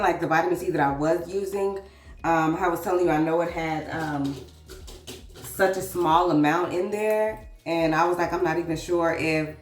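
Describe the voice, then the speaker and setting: Woman, low pitch, congested, chatty get-ready vlog, roomy distant mic, faint music. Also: darkish tone. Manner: chatty, addresses viewer as girl. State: congested, sounds sick. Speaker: vlogger and author. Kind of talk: casual get-ready-with-me chat.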